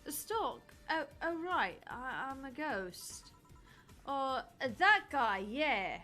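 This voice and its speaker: A woman's voice: high-pitched, sing-song, drawn-out words, sharp tone, soft background music, exaggerated voice. Woman voicing a nervous little girl playing ghost. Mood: flustered. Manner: hesitant, stammering bluffing. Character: small, nervous young girl pretending to be a ghost.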